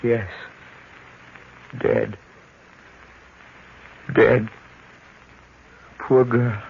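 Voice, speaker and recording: An actor playing a somber, shocked man, speaking gravely and tersely in old-time radio style. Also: mid-range voice, flat delivery, very slow, heavily acted. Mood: horrified, grave. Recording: muffled old radio recording.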